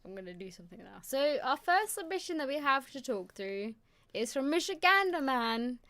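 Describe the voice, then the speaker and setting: Hoarse female host, fairly high voice, chatty live-stream greeter. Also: sing-song, moderate pace, a bit bright. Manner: chatty, greets viewers by name. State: hoarse, losing her voice. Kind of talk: casual live stream chat.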